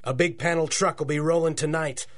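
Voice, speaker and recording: A man's voice: on the high side, steady tone, a little fast, natural voice. An actor playing a villainous, greedy gangster boss in a rhymed radio drama, talking like a slangy tough guy. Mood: greedy, gloating.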